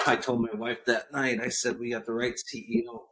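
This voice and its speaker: A man in his forties sits in as a podcast guest and talks in an emphatic, casual way. He repeats his key points for effect and clears his throat or coughs now and then, at an everyday mid pitch. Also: expressive, moderate pace, a bit bright. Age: 40s. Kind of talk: casual interview talk.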